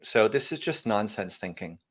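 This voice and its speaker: A man's voice: on the low side, animated, normal speed. A man, a company founder and guest on a podcast interview, talking through technical topics in a rambling way with frequent restarts and emphatic bursts.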